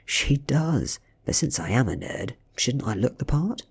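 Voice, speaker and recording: A nerdy, frail teenage boy, played by a man reading aloud for a storybook. He is earnest and self-deprecating, with a husky, breathy tone and lively pitch movement. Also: a little slow, heavily acted.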